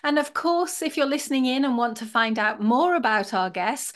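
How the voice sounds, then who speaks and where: A woman hosting a podcast, introducing the episode and welcoming guests in a measured, reflective way. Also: sits high, lively intonation. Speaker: podcast host.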